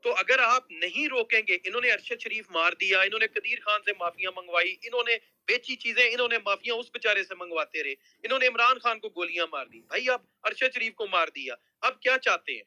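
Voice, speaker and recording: A man's voice: sits high, lively intonation, fairly quick, few pauses, bright, forceful tone, dry recording, rough recording. A man with a Pakistani accent giving an urgent, agitated political appeal in a group discussion, repeating himself to drive points home. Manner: agitated, exhorting, repetitive.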